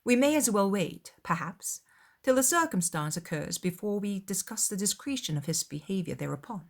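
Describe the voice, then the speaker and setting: A woman's voice: mid-range voice, lively intonation, moderate pace. Witty, spirited young lady, acted by a woman. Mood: challenging. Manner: articulate, pointed, archly polite. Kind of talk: dramatised audiobook of a classic novel.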